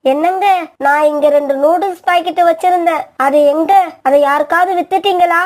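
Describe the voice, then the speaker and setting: Woman as a high-pitched anxious pigeon wife. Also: fairly even intonation, normal speed. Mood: alarmed, reproachful. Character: anxious reproachful pigeon wife minding the shop. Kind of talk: children's cartoon voice acting.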